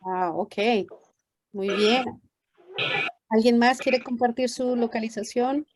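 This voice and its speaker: A woman's voice: a little high, takes it slowly, noisy background. A woman physician welcoming parents on a video call, speaking hesitantly with many fillers. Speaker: pediatric geneticist giving a talk.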